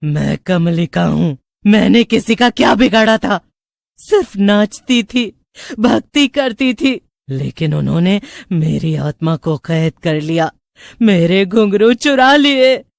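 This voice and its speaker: Woman voicing a creaky, vengeful witch ghost. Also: medium pitch, animated, takes it slowly, no echo, clean studio sound. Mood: aggrieved. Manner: commanding, theatrical. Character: vengeful female witch ghost obsessed with dancing anklets. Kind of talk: dramatic horror cartoon story acting.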